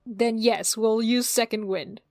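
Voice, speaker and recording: A woman's voice: fairly high pitch, steady tone, measured pace, a little mellow, no room sound, clean studio sound. A woman playing a tabletop roleplaying game, chatting casually as she works out her character's moves.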